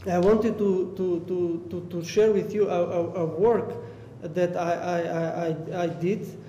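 A man's voice: high voice, steady tone, measured pace, dark tone, dull audio. Hesitant young man, echoey hall. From Albania. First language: Romani. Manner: hesitant, many repeated words and fillers. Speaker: artist panelist. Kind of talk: panel discussion. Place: art gallery room. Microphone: stand mic. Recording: echoey hall, faint noise.

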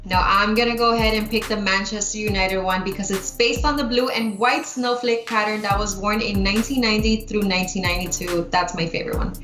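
A woman's voice: medium pitch, fairly even intonation, a little fast, few pauses, a bit bright. A young woman co-hosting a casual sports chat over a video call, upbeat and chatty. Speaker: co-host. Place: home room, video call. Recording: roomy echo, faint music behind.

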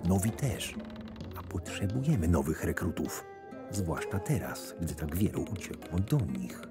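A man playing a shrewd, sardonic right-hand man to a camp boss, narrating a fantasy audio drama in a measured way. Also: deep voice, very animated. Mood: hushed, persuasive. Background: music.

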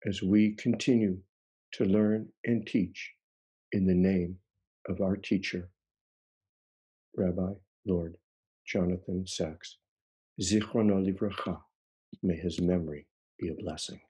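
Male, 60s, solemn tribute speaker; husky, dark tone, measured phrasing over a video call. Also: low-pitched, fairly even intonation, low-quality recording. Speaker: rabbi giving a tribute. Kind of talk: scripted eulogy, read aloud. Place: indoor room at home, video call.

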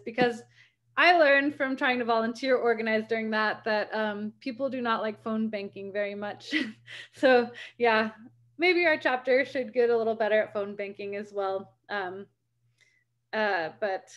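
A young woman giving a rambling, filler-heavy report on a video call from home. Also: a little high. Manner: rambling, many fillers and restarts. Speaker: campaign volunteer reporting back. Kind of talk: casual meeting report. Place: home room. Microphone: laptop webcam video call.

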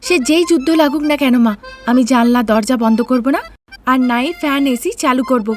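A woman's voice: sits high, fairly quick, soft background music, close to an everyday voice. A woman voicing an earnest, stubborn young daughter-in-law in a cartoon story, pleading yet firm as she argues. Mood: firmly refusing. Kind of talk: Bengali cartoon story acting.

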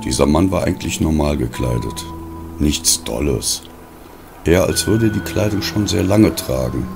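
A man reading a scripted diary story as an audiobook narrator, in a measured, deliberate way with pauses between phrases. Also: very deep voice, animated, gravelly, over music.

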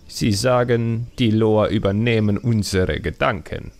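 A man voicing a cocky but wary young male troll sidekick with a drawn-out, boastful and ominous manner, in a fantasy audiobook. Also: on the low side, darkish tone. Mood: serious, ominous.

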